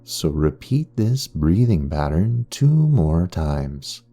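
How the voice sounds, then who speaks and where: A man guiding a meditation in a soothing, unhurried way, leaving long pauses between phrases. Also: low-pitched, sing-song, vocal fry, mellow. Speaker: meditation guide and hypnotherapist. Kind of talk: guided meditation narration. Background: background music.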